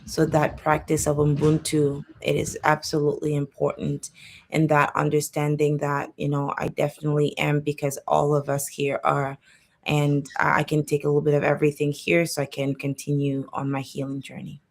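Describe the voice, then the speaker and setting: Low gravelly woman on a video panel, flat delivery, thinking out loud. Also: normal speed. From born in Cameroon, raised in Canada. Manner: reflective, searching for words. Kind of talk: panel discussion.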